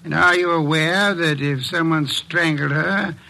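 A man's voice: a little high, unhurried, vocal fry, sharp tone, exaggerated voice. An actor playing a grumpy, pedantic genius detective, a large lordly man, in old radio drama style with formal, irritable diction. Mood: pedantic, aloof. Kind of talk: 1940s-50s radio drama acting. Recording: old radio broadcast with music.

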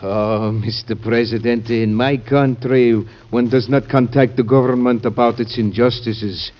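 An actor playing a grave, earnest visitor in an old-time radio drama, formal and polite. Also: male voice, medium pitch, fairly even intonation, a little slow, close to an everyday voice. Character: earnest, secretive Spanish gentleman, grave and pleading visitor. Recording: muffled vintage radio recording.

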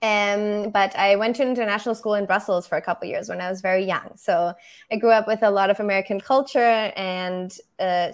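A young photographer from Germany speaks English. She answers in a measured, reflective way, often pausing on "um" while she builds her points. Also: mid-range voice. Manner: thoughtful, measured, frequent "um". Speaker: photographer, podcast guest. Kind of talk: interview answers.

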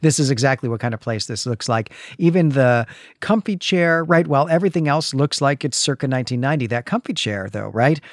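A man's voice: mid-range voice, lively intonation, a little fast, no room sound. Middle-aged man, casual podcast co-host, dry sound. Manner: frequent "right?" tags, enthusiastic. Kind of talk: casual conversational commentary on comics.

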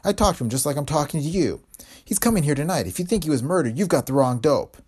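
A man voicing a tough, vengeful gangster's woman who talks in brassy slang, with a husky tone. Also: a little high, animated, quick pace, a little mellow, voice pushed up. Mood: defiant.

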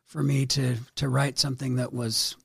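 A middle-aged man appearing as a podcast guest, speaking in a measured, modest way. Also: medium pitch, flat delivery, no echo, studio quality. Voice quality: gravelly, husky. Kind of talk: casual podcast chat.

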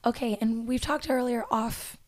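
A cheerful woman hosts a casual podcast chat, gushing with enthusiasm. Her voice sits a bit high and carries a light rasp from screaming on rides. The sound is dry and close. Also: steady tone, normal speed, darkish tone, no room sound. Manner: bubbly, enthusiastic. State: slightly raspy voice from screaming on rides. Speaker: podcast host.

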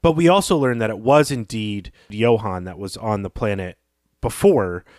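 A man's voice: lowish voice, normal speed, darkish tone, dry recording, studio quality. A man co-hosting a podcast, reflectively analyzing a story in casual conversation. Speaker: podcast co-host.

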